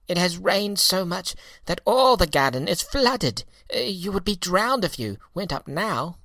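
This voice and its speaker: Guy doing a little earthworm from a kids story, kind n earnest, bright forceful voice, swoops up and down. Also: high-pitched, very animated, measured pace, no room sound, pitched above the performer's own voice, full character voice. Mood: urgent warning. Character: small kindly earthworm, earnest friend to a flower baby.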